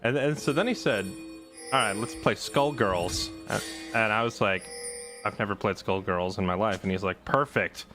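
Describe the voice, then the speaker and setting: A man's voice: lowish voice, normal speed. Joking streamer man over game music. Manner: sarcastic, joking, laughs at own stories. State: just woke from a nap. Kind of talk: casual chat while gaming.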